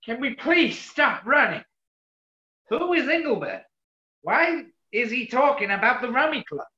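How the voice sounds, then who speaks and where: Woman acting a bright, brash, animated American, roomy sound. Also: on the high side, unhurried, full character voice. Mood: eager, puzzled. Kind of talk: 1940s radio play acting. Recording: roomy, muffled online recording.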